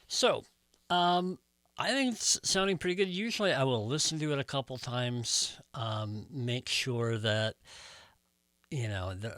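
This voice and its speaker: A man giving a casual step-by-step tutorial, explaining things in a measured way with pauses. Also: medium pitch, fairly bright tone, dry recording. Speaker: host of a record collecting tutorial channel.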